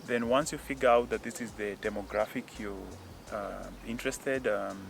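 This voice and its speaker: Gravelly man explaining with hesitation. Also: lowish voice, a crowd in the background. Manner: hesitant, many fillers, measured. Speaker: guest expert. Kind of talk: casual explanatory interview. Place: outdoors, windy.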